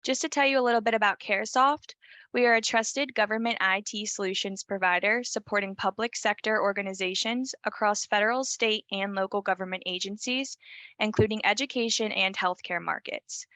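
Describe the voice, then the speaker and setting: A woman moderating a webinar, reading housekeeping notes in a brisk, scripted way. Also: mid-range voice, sharp tone, no room sound.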